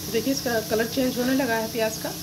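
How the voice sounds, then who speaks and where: A woman's voice: fairly high pitch, steady tone, fast talker. A woman home cook casually narrating a recipe step by step to her viewers, recorded in a home kitchen. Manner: step-by-step, addresses viewers as friends. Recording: sizzling pan noise over the voice. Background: loud sizzling and frying food.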